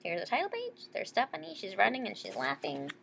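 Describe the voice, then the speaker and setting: A woman's voice: medium pitch, wildly swooping pitch, fairly quick, gravelly, noisy background. A woman reading a children's picture book aloud expressively, with explanatory asides to young listeners. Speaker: storybook reader.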